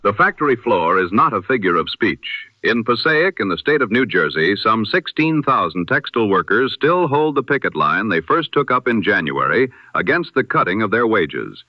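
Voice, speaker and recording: A man reads a scripted news bulletin in 1920s radio style, formal and solemn, with measured oratorical delivery through an old-time radio filter. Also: lowish voice, sing-song, no room sound. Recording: dull, band-limited vintage radio sound.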